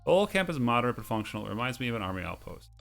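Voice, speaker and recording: A man's voice: medium pitch, sing-song, rapid-fire, gravelly, fairly bright tone, faint music behind. A man hosting a video game playthrough, commenting and reading on-screen text aloud, sometimes stumbling over words.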